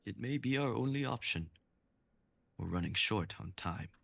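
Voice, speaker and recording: A man voicing Guang, a calm, knowing guide who gives measured advice. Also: on the low side, creaky, dry recording, voice pushed up, heavily acted. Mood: steady.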